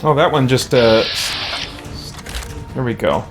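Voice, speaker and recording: A man hosting a gaming let's play with casual live commentary and animated, theatrical reactions. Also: mid-range voice, slow pace, music in the background.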